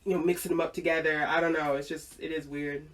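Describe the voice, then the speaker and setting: A woman hosting a casual fan chat, giggling often and talking in halting bursts full of fillers. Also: deep voice, little pitch movement. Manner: giggly, many fillers and restarts. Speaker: host. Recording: distant mic.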